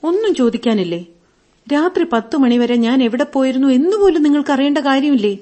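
Hurt young wife, dark mellow tone, slightly high pitch, plaintive, hesitant, radio drama. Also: female voice, expressive, fast. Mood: hurt, guarded. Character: hurt, pleading young wife wanting to be understood. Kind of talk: Malayalam radio drama acting.

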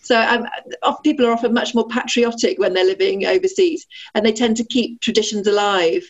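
A woman's voice: fairly even intonation, a little fast. Muffled woman in her forties from Sheffield. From Sheffield, England. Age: 40s. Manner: friendly, frequent fillers and restarts, laughs easily. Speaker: ambassador, interview guest. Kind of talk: conversational interview. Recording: muffled, low-fidelity audio.

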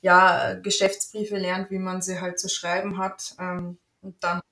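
A woman's voice: fairly low pitch, little pitch movement, a little slow, sharp tone. Chatty young woman, Italian native, echoey room. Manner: chatty, rambling with many fillers. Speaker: interview guest, office assistant and freelance translator. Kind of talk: casual interview chat.

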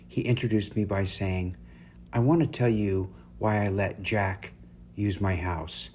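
A man with a deep, low voice reads an uplifting story aloud as its narrator. His phrasing is deliberate and measured, with pauses along the way. There is noticeable noise and hum behind him. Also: a little mellow. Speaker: story reader, host. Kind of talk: scripted inspirational story narration.

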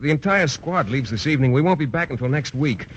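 An actor playing a shy, bashful biology teacher who stammers and hesitates, in a 1940s radio sitcom. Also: male voice, a little high, animated, quick pace, a bit bright. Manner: hesitant, stammering, formal wordy. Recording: dull old radio broadcast with faint hiss.

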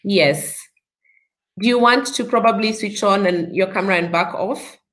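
A woman's voice: medium pitch, moderate pace, fairly bright tone. A Kenyan woman hosting a podcast interview over a video call, with upbeat, speech-like introductions. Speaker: podcast host. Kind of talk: live online interview.

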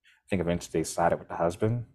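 Deep-voiced man rambling in podcast chat. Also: fast. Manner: rambling, restarts often, animated bursts. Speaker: podcast co-host.

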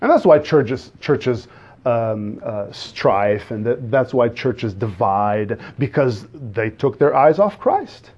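A man from the Azores with a Portuguese accent, a native Portuguese speaker preaching a sermon in an earnest, halting way, often restarting and putting questions to the congregation. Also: mid-range voice, lively intonation, a little mellow, dull audio. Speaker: guest preacher.

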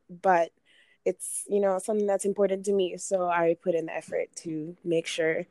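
A woman's voice: lowish voice, little pitch movement, moderate pace, darkish tone, no echo. Young Sudanese-born woman, dry close sound. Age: young adult. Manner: chatty, many fillers and restarts. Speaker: podcast guest. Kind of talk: casual interview talk.

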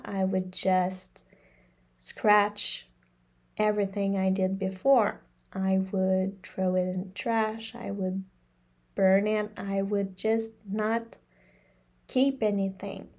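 Slow, mellow-voiced podcast host, French native. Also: female voice, medium pitch. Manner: slow, thoughtful, repeats phrases for emphasis. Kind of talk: reflective solo podcast monologue.

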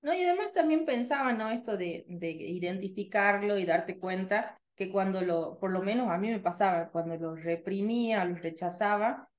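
A woman in her thirties from Tucumán with a slightly softened Tucumán Argentine accent, co-hosting a casual radio podcast chat with trained, clear articulation. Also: mid-range voice, moderate pace, hardly any pauses, dull audio. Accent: Tucumán Argentine Spanish, slightly softened. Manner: chatty, lively, trained articulation.

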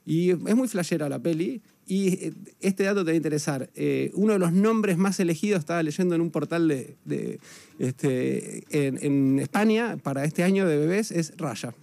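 Gravelly Argentine man, dark tone. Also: fairly high pitch, expressive, moderate pace, dry recording. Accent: Rioplatense Spanish. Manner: chatty, informative, with many hesitation fillers. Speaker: entertainment columnist. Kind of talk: casual radio chat.

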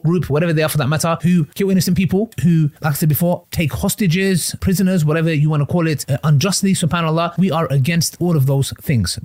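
A man's voice: a little high, a little mellow. A man giving earnest commentary for a reaction video, sprinkling in Arabic religious phrases. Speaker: online commentator.

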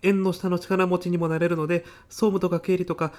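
A man's voice: high voice, little pitch movement, fairly quick, a little mellow, lightly acted. A man playing an earnest, hapless university student at a job interview in a comedy sketch, with stiff, hesitant, rehearsed politeness. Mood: eager, apologetic. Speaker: job applicant. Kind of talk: audio comedy sketch acting.

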